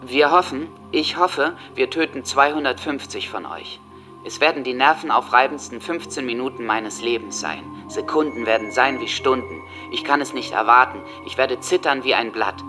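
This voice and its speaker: A man's voice: mid-range voice, normal speed, lightly acted. Man playing a seething teenage gunman, music behind. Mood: eager menace. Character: teenage male school shooter, hateful, impatient, megalomaniac. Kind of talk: documentary radio drama acting.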